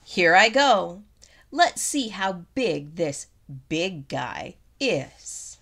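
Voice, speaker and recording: A woman's voice: lowish voice, very animated, drawn-out words, vocal fry, a bit bright, voice pushed down, heavily acted. A woman voicing an earnest, anxious male elephant from a storybook, eager to help, speaking in an emphatic, dramatic way. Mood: determined. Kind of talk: storybook character voice.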